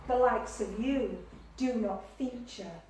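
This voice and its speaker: Woman voicing a stern male ark builder, commanding, dismissive, loud and a bit high, far off in a very echoey room. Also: slow pace, slight background noise.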